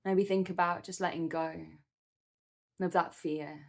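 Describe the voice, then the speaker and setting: A woman leading a guided meditation in a soothing, gentle manner, with measured instructions and pauses. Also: on the low side, fairly even intonation.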